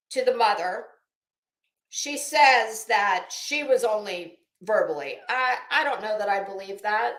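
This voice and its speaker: Female commentary host, sarcastic and sweary, unhurried delivery, casual, recorded in an echoey room. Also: fairly high pitch, fairly bright tone. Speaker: online show host. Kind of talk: casual commentary on another online personality.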